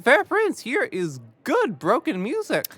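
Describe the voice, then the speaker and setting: Fussy, swooping courtly flatterer, played by an actor. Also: high voice, unhurried, slightly rough, full character voice. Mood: effusive, flattering. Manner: effusive, repetitive, pompous courtly flattery. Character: Pandarus, fussy, courtly, flattering lord and go-between. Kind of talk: Shakespearean audio drama acting.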